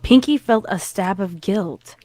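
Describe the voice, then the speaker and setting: A woman's voice: medium pitch, unhurried, dark tone, no echo. A woman reading a scripted story as narrator, with dramatic delivery.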